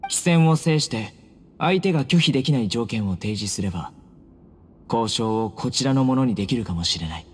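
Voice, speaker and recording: A man playing a solemn, resolute young guardian warrior in an anime game, speaking in a measured, serious, blunt way. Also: mid-range voice, lively intonation, slightly rough. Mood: confident.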